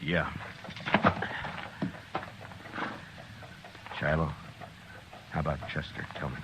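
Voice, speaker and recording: An actor playing a stern, weary Old West marshal in a 1950s radio Western, speaking tersely and with command. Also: male voice, very deep voice, little pitch movement, normal speed. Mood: weary, somber. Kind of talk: 1950s Western radio drama acting and narration. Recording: noisy old radio recording.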